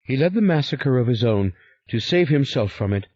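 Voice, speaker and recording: A man's voice: medium pitch, lively intonation, moderate pace, warm tone, no echo, pitched above the performer's own voice, lightly acted. A man voicing a bitter, fierce young woman who accuses her brother with impassioned scorn. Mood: accusing. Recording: slightly muffled recording.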